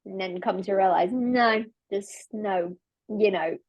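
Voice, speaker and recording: A woman with an English accent talks through her life in a relaxed conversation. She is earnest and reflective, circling back and restarting her phrases as she thinks. Her voice sits at a middle pitch and is recorded close and dry, with no room around it. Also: slow, no room sound. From London. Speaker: podcast guest, leadership coach. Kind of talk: casual conversation.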